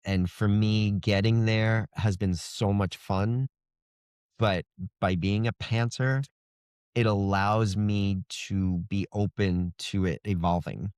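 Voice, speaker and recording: A man's voice: fairly low pitch, steady tone, slow, a little mellow, clean tone, no echo, clean studio sound. A middle-aged man speaking as an interview guest, telling things in a casual, reflective, conversational way. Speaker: fantasy author, interview guest.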